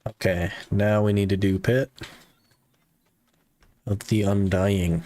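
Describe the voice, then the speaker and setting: Low-voiced man chatting on a game stream. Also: slow pace. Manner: relaxed, talks to chat with many "like" fillers. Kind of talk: casual live-stream chat while gaming.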